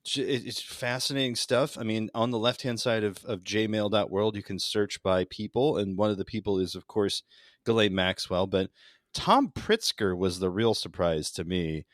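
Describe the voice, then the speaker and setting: Man, low voice, dry close recording, casual political banter, sardonic, profane. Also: lively intonation, moderate pace, no echo. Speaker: podcast co-host.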